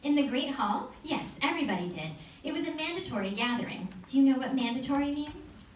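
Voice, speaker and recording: A woman plays a tired, gentle storybook schoolteacher, patient and a little evasive. Her lilting voice comes from off the mic in an echoey room. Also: high voice, animated, pitched above the performer's own voice. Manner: gentle, measured explaining. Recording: echoey room, distant mic, hissy noise.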